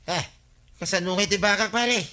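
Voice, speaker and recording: A man's voice: sits high, moderate pace, bright, forceful tone. An actor with an Ilocano accent playing a worried village man searching for his lost cow in a radio comedy drama. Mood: despondent, worried.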